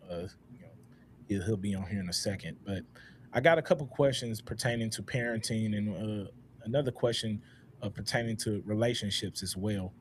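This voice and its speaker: A low-voiced man in his thirties leads a relaxed panel discussion. He talks in a reflective, loose way, full of "uh"s and "all right?" checks. There is a faint hiss of noise behind him. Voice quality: loud voice. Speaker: podcast host.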